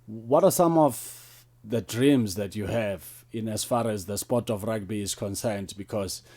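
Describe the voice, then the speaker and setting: A man's voice: lowish voice, a little slow, mellow. South African man hosting a radio interview. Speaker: radio sports show host.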